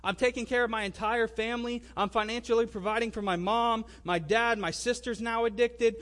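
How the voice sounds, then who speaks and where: A young man in his twenties with a high-pitched voice tells a personal testimony. He speaks conversationally, in casual everyday wording, and acts out remembered exchanges as part of the story. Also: fairly even intonation, fairly quick, a bit bright. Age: 20s. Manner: conversational and colloquial, acting out remembered dialogue.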